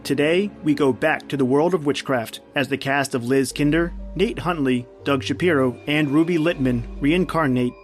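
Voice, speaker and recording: A man's voice: a little high, moderate pace, few pauses. A man hosting a podcast with a scripted, theatrical introduction in horror-anthology style. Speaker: podcast host. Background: music.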